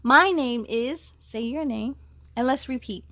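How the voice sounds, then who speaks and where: A woman's voice: sits high, measured pace, sharp tone, no room sound. A woman hosting a children's story time, reading aloud expressively and speaking to young listeners in an encouraging way.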